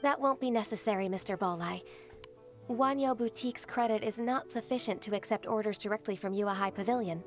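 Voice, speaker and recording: A woman voicing a polite, precise young secretary in a game, speaking in a calm, formal, measured way. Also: medium pitch, natural voice. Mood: cool. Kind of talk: game voice acting. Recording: game audio under music.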